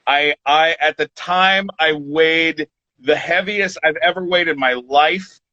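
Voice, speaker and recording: A man in his forties, a podcast guest chatting casually, rambling and halting with many restarts. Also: a little high, bright, forceful tone, no room sound. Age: 40s. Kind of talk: casual chat. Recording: dull lo-fi sound.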